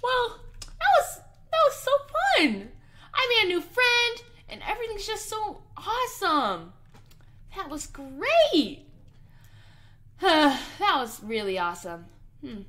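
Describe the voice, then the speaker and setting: A woman's voice: very high-pitched, very animated, takes it slowly, pauses often, a bit bright. A woman hosting a gaming video with playful, giggly and enthusiastic commentary. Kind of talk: playful game commentary and roleplay.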